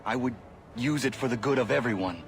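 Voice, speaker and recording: An actor playing an earnest, righteous young rebel warrior in a video game, speaking in solemn, defiant declarations. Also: male voice, medium pitch, a little fast, lightly acted. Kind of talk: dramatic video game acting. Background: loud music.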